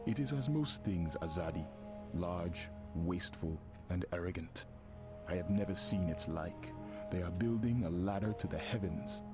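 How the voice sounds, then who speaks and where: A man voicing a grave, scornful rebel scout in a fantasy game, speaking in solemn, measured, formal phrases. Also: sits low, over music, lightly acted.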